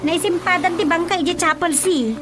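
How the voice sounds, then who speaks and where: Brief: actress as a very high, urgent Ilocano daughter under loud music. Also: female voice, steady tone, moderate pace. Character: young adult daughter bringing news. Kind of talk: radio drama comedy acting.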